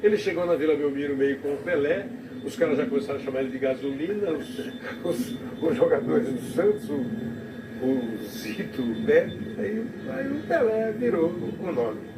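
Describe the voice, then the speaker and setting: A male TV commentator reflecting on a live broadcast, talking haltingly with many restarts. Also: on the high side, animated. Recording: TV audio recorded off the air from a distance in an echoey room, noisy.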